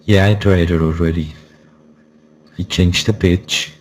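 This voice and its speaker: A man's voice: low-pitched, steady tone, unhurried, a little mellow. A man giving a casual, insistent spoken review, his voice run through a voice changer. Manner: insistent, repetitive, warning listeners. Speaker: software reviewer. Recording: background noise.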